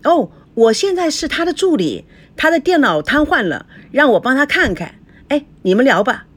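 A coy young female assistant acted by a woman narrator in an audiobook drama. She speaks in a high, very mobile voice, hesitant and plaintive, with an apologetic air. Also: very animated, normal speed. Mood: nervous.

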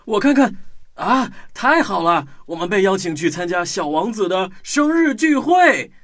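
Children's radio play: high, lively, cheerful young boy, played by a man, light music behind. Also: moderate pace, exaggerated voice. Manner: enthusiastic. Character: cheerful young boy train driver Nick. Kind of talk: children's audio drama.

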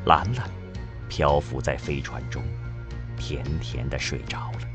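A man giving solemn, expressive scripted narration for a science fiction audio drama. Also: a bass voice, measured pace. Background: music.

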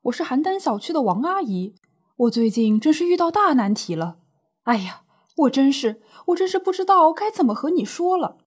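Woman acting an animated, high-pitched, aggrieved older shopkeeper auntie. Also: moderate pace. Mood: flustered, upset. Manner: plaintive, exclaiming, colloquial grumbling. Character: distressed, indignant older woman running a small snack shop. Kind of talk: radio drama dialogue.

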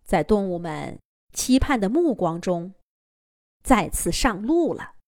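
A woman storyteller reading a children's fairy tale in an expressive, lilting manner. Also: medium pitch, takes it slowly, dry recording, clean studio sound.

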